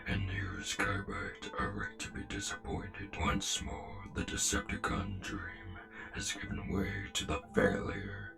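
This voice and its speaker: Gravelly, solemn robot commander. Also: male voice, fairly low pitch, very animated, over music, full character voice. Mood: grave, disillusioned. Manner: formal, deliberate, portentous. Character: stern, solemn male robot commander, measured and betrayed. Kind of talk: fan comic dub voice acting.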